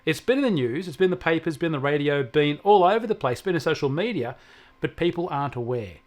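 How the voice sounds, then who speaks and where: Higher-voiced Australian man explaining technology. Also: expressive, fast talker. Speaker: technology commentator on a regular show. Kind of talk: conversational explaining of technology news.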